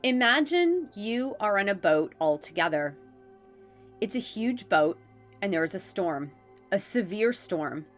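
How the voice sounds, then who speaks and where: A congested woman reading the solemn, measured words of angelic guidance from a written letter. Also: medium pitch, big pitch swings, faint music behind. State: congested from a head cold.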